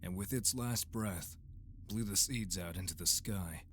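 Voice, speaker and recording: A man voicing a calm, grave rebel in an audio drama, speaking in a measured way. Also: deep voice. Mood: solemn. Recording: steady background hiss.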